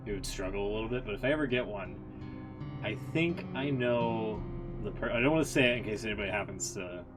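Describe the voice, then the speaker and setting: A man's voice: fairly low pitch, fast, music in the background. A man casually commentating on a game livestream, talking informally and swearing now and then.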